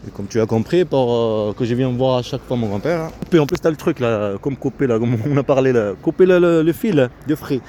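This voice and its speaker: A man giving a casual, rambling vlog commentary in a halting, repetitive way, often breaking off his own sentences. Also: mid-range voice, lively intonation, mellow. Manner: halting, repetitive, self-interrupting. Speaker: vlogger. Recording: noisy outdoor recording with vehicle sounds.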